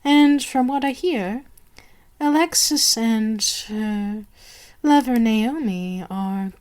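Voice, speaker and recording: Woman acting a slow, imperious vampire queen. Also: fairly high pitch, darkish tone. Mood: coolly condescending. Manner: slow, imperious, deliberate, condescending. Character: haughty, commanding vampire queen mistress. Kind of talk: ASMR roleplay monologue to the listener.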